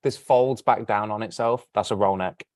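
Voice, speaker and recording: Blunt English man, low voice. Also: normal speed, no room sound, clean studio sound. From England. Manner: opinionated, blunt, slangy. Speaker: model and fashion guest. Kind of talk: casual interview chat.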